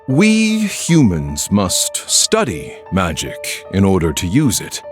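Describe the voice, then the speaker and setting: Urbane villainous scholar, lowish voice, hugely animated intonation, grandiose game drama, music behind. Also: male voice, full character voice. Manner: urbane, grandiose, savoring his words. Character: smooth, theatrical scholar villain with hidden malice.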